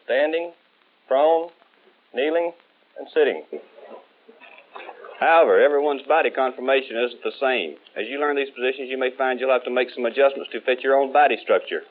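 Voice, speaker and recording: A man's voice: mid-range voice, normal speed, long pauses, close to an everyday voice. An American man playing a firm, methodical Marine rifle instructor, lecturing with emphatic authority in a 1960s training film. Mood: firm, instructive. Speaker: military marksmanship instructor. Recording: tinny old 1960s film soundtrack.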